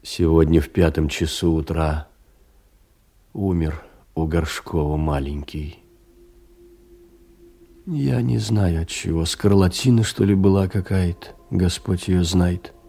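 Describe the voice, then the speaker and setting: An actor playing a humble, ageing poor clerk in a theatrical monologue, folksy, tender, rambling and compassionate. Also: male voice, low-pitched, slow pace, gravelly, pauses often, warm tone, husky, exaggerated voice. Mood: troubled, tender. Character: humble, kind-hearted, ageing poor clerk sharing sad news. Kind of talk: theatrical monologue, letters read as drama.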